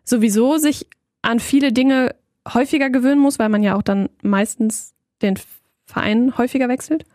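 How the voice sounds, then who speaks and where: A woman's voice: fairly high pitch, moderate pace, darkish tone, dry recording, clean studio sound. A woman, chatting casually as an interviewer, blunt and colloquial with frequent tag questions. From Lower Rhine, Germany. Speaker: interviewer, host. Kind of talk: casual chat.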